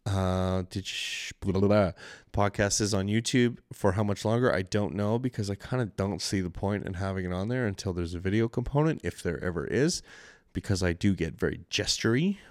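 A man's voice: low-pitched, moderate pace, no room sound. Canadian man, solo podcast host, rambling, swears a lot, dry close mic. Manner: rambling, self-deprecating, frequent swearing. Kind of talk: casual solo podcast chat. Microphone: studio mic with mixer.

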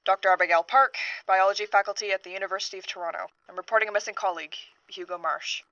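A woman playing a troubled university scientist giving an earnest, halting witness statement in a horror audio drama. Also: medium pitch, fairly even intonation, a bit bright, no room sound, muffled recording, natural voice. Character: troubled female university biologist reporting a missing colleague.

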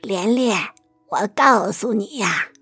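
A woman's voice: medium pitch, lively intonation, takes it slowly, a bit bright, slightly rough, dry recording, soft background music, exaggerated voice. A woman playing a proud, folksy, doting old grandmother with a Northeastern Chinese accent in a radio drama. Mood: proud, amused.

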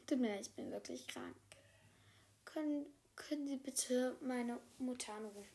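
A woman playing a sick young female apprentice, hoarse and speaking quietly and hesitantly, half in a whisper. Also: sits high, moderate pace. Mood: sheepish.

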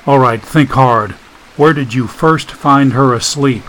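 A man's voice: medium pitch, measured pace, a bit bright, slight background noise, lightly acted, rough recording. A man voicing a weary, irritable man in an audiobook, questioning curtly and impatiently. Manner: curt, tense questioning.

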